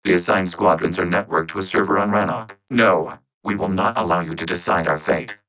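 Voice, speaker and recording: A man voicing a calm, logical networked robot intelligence in a video game, speaking in formal, precise, stilted phrases through synthetic processing. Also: low voice, brisk, smooth. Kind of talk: scripted video game character dialogue.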